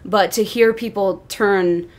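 A woman's voice: medium pitch, steady tone, slow pace, a crowd in the background. A woman co-hosting a wrestling podcast, chatting casually as a fan.

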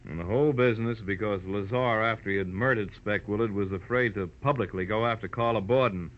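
An actor playing a matter-of-fact police detective in an old-time radio drama, measured and businesslike. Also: male voice, fairly low pitch, close to an everyday voice. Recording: muffled, noisy old-time radio recording.

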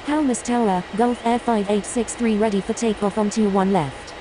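A woman's voice: medium pitch, fairly even intonation, normal speed, lightly acted. Hissy, clipped woman acting as airport radio voice. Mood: businesslike. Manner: clipped, formulaic. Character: matter-of-fact airport radio controller in a simulation game. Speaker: air traffic control and pilot radio voice. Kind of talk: scripted aviation radio phraseology. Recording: hissy, clearly noisy radio-style audio.

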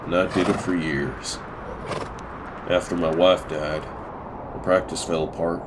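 A weary, older male farmer played by an actor speaks in a very deep voice. He is measured and plain-spoken, in an amateur comic dub with clear noise behind him. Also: flat delivery. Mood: wistful. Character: weary older widowed farmer, former veterinarian.

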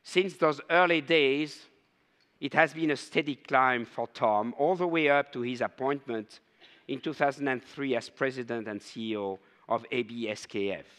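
An older man hosting a conference, giving a measured, formal introduction of the keynote speaker on stage. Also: mid-range voice, a bit bright. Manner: measured, ceremonial. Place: large conference hall stage. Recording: long hall reverb.

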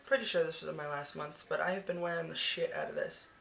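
A woman in her twenties, hoarse from the flu, chatting casually and swearing freely about her beauty favorites into a webcam in a small bedroom. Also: sits low, fairly even intonation, quick pace, a bit bright, a little hiss or hum. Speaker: beauty vlogger. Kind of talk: casual monthly favorites chat. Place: small decorated bedroom, indoors. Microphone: webcam at arm's length.

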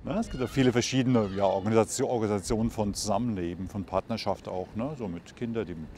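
A man's voice: on the low side, fast talker, darkish tone, a little hiss or hum. A man in his sixties answering a street interview outdoors, searching for words with many fillers. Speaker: passer-by interviewee. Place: city park outdoors.

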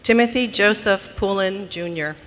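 An announcer reading formally at a graduation ceremony in a large arena, with measured, deliberate enunciation. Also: medium pitch. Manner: measured, deliberate enunciation with pauses between names. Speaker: commencement name announcer. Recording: noisy arena PA with crowd behind. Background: crowd noise.

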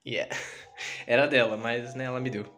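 A man's voice: medium pitch, fairly even intonation, normal speed, pushed and bright. A young man with a Brazilian Portuguese accent, rambling casually and playfully in a self-deprecating way, as in an informal vlog. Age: young adult. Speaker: YouTuber. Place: small bedroom, indoors. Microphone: handheld camera or phone. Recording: noisy handheld camera audio with clicks.

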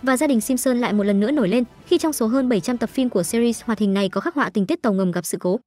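Fast-talking woman news narrator over music. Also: a little high, warm tone. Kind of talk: scripted entertainment news narration. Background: background music.

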